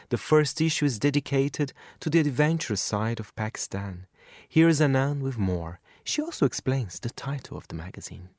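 A man hosting a show, reading scripted links smoothly. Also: mid-range voice, a little mellow, no room sound, studio quality. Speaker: radio show host. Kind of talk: scripted presenting.

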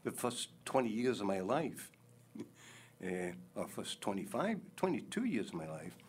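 Older man, scottish accent, deliberate, explaining, low voice, lively pitch. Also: a little hiss or hum. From Scotland. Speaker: guest, host's father. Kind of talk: casual chat.

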